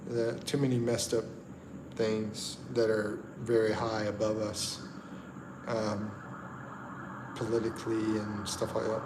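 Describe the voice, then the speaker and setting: Slow easygoing man, echoey room. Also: fairly low pitch. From the Carolinas, USA. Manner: slow, easygoing, halting with frequent ums and restarts. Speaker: livestream host. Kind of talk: casual livestream chat, reading viewer comments. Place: indoors at home in the Philippines at night. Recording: echoey room with steady background noise.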